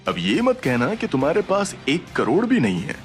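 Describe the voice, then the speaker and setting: An actor playing a smug, taunting rich uncle in an audio drama. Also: male voice, fairly high pitch, huge pitch swings, moderate pace, faint music behind. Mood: mocking. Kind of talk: Hindi audio drama acting.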